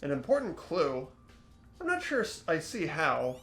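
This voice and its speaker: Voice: mid-range voice, huge pitch swings, a little slow, fairly bright tone, soft background music, exaggerated voice. An amateur actor voicing an earnest, puzzled teenage boy student detective from a mystery game, hesitant and questioning. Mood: thoughtful. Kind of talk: amateur fan voice acting of a game script.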